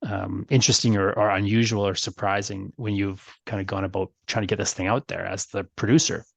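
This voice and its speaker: A man's voice: lowish voice, level tone, brisk, creaky, slightly rough, no echo. A man hosting a podcast interview in a casual conversational way, circling discursively toward his questions. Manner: discursive, rambling build-up to questions.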